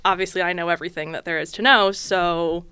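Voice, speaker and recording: A young woman chatting candidly and jokingly as a podcast guest in a small studio, talking into a boom mic. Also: steady tone, fast talker, bright, forceful tone, clean tone, no room sound. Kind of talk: casual chat. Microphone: studio mic on boom arm, headphones.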